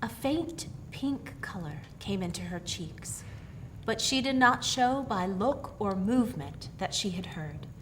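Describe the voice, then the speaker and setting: A woman reading a story aloud in expressive narration, with careful dramatic phrasing. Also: medium pitch, measured pace, slight background noise. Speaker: story reader.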